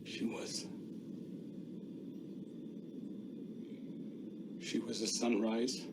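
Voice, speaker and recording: A man's voice: fairly low pitch, flat delivery, measured pace, lightly acted. An actor playing a bitter, guarded, grieving man in a video game, curt and defensive. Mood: grieving, hushed. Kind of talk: video game cutscene dialogue. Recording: distant voice with clear ambient noise.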